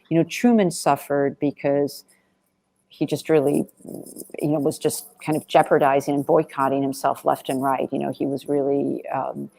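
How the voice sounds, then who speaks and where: A woman's voice: sits low, gravelly, darkish tone, no room sound. A woman speaking as a film director in an interview, answering conversationally in a discursive, emphatic way and often rephrasing as she goes.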